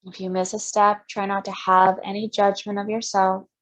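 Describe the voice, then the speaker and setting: A woman yoga instructor leading a guided breathing meditation in short, gentle step-by-step cues. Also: mid-range voice, little pitch movement, moderate pace, poor audio.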